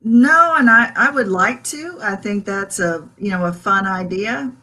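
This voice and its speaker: A woman's voice: mid-range voice, pushed and bright, poor audio. A woman musician in a casual interview, talking about recording and laughing easily at jokes. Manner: laughs often, quick to joke. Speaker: musician guest.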